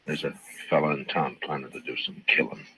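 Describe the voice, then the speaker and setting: An actor playing a weary, stoic frontier marshal in an old radio western, speaking in terse, measured lines. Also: male voice, rumbling low, fairly even intonation. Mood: resigned. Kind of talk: 1950s radio drama acting. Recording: muffled, hissy old radio broadcast.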